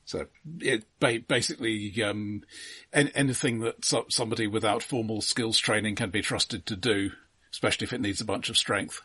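A middle-aged British man telling anecdotes casually as a podcast co-host, in a halting, precise way. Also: on the low side, fairly even intonation, pushed and bright, husky. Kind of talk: casual chat, personal anecdotes.

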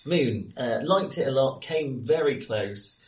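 A man's voice: medium pitch, animated, measured pace. A man chatting casually about films, often hesitating and correcting himself as he goes. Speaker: film podcast host. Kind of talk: casual film discussion. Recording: roomy, echoey sound.

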